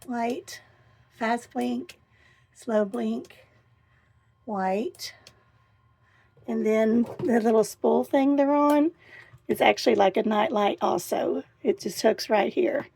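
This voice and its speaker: Hoarse lady chatting away inside her little van, raspy and a bit high. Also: warm tone. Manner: chatty and enthusiastic, pausing while showing things. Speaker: vlogger. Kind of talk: casual home tour vlog. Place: inside a small camper van.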